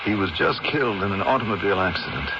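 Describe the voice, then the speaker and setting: A man's voice: deep voice, animated, quick pace. An actor playing a dignified, haunted man back from death in a 1970s radio drama, with formal, dramatic delivery. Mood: weak, haunted. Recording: muffled, noisy old radio recording.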